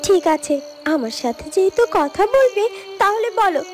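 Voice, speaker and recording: A woman voicing an innocent, gentle young girl in a cartoon story, earnest and hesitant, often repeating words. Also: very high voice, big pitch swings, normal speed, over music. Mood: startled, polite. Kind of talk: Bengali cartoon story dialogue.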